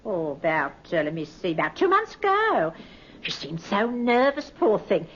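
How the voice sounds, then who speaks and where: A woman's voice: mid-range voice, sing-song, moderate pace, a bit bright. An actress playing a worried, chatty landlady who rambles hesitantly in an old-time radio drama. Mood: fretful. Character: fretful, chatty village landlady, worried and motherly. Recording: muffled, noisy old radio recording.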